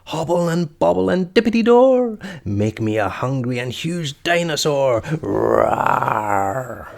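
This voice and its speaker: A man's voice: medium pitch, very animated, slow, gravelly, exaggerated voice. A man voicing a gleeful, boastful young witch who chants rhyming spells in a storybook. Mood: scheming, hungry.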